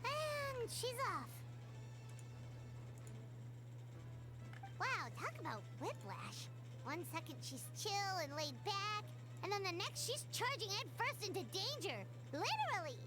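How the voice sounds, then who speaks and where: Very high, squeaky childlike fairy companion. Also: female voice, moderate pace, over music. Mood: amazed. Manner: excitable, exclaiming, refers to self in third person. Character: tiny childlike flying fairy companion, perky and cheeky. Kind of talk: animated video game voice acting.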